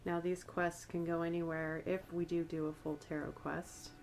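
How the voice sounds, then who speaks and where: An American woman with a slightly raspy voice from a cold, giving a soft, meandering, conversational ASMR-style tarot reading. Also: on the low side, level tone, moderate pace, rough recording. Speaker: tarot reader and astrologer.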